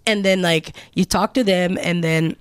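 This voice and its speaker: A woman in her twenties co-hosting a podcast, talking casually in a relaxed, thoughtful way with frequent fillers. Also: lowish voice, moderate pace, clean tone, no room sound, studio quality. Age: 20s. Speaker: podcast co-host. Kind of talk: casual chat.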